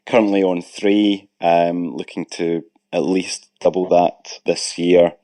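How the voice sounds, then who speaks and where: A Scottish man in his thirties, speaking as an interviewed guest in a measured, thoughtful way with pauses. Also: deep voice, fairly even intonation, warm tone, no room sound. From Scotland, Ayrshire. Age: 30s. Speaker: guest property investor. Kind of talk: recorded interview answers.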